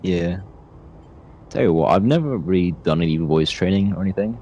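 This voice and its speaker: A man with a low voice narrating a tabletop game as game master and chatting casually between descriptions. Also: animated, brisk, highs cut off, a little hiss or hum. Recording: soft video game music behind. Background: soft video game music.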